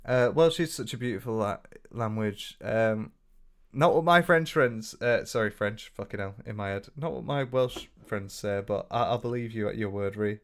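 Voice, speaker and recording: A young man with a northern English accent chatting casually on a livestream, reading out viewer comments with lots of restarts and the odd swear. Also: lowish voice. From north of England. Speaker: streamer.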